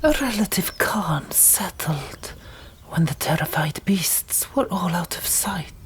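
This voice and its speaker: A woman narrating an audiobook in a whispered ASMR style, with deliberate, dramatic storytelling. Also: fairly low pitch, lively intonation.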